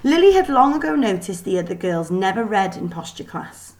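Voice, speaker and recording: A woman's voice: mid-range voice, big pitch swings, moderate pace, a little mellow. Woman narrating a storybook with dramatic emphasis. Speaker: teacher reading a book aloud. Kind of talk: expressive children's storybook narration.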